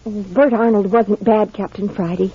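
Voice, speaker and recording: A woman's voice: medium pitch, steady tone, unhurried, warm tone, husky, no echo. Earnest, anxious young lady, 1940s radio drama, very veiled voice, muffled old recording. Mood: earnest, troubled. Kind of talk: 1940s American radio mystery acting.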